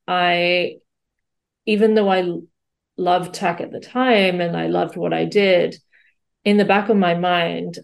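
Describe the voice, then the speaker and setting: A woman's voice: fairly low pitch, vocal fry, darkish tone. A woman in her forties who grew up in Singapore, a guest life and career coach telling her story in a reflective way, with many fillers and restarts, over a remote call mic. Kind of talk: conversational storytelling.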